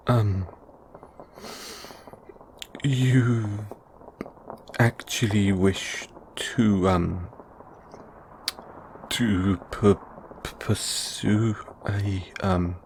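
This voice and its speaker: A man's voice: lowish voice, a little mellow, a little hiss or hum, rough recording. A man playing a kindly middle-aged father in an audio roleplay, nervous, awkward and stammering.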